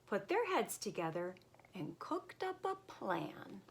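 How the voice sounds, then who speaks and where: A woman reading a children's picture book aloud in an expressive, deliberate, emphatic way. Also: mid-range voice, vocal fry, a little hiss or hum.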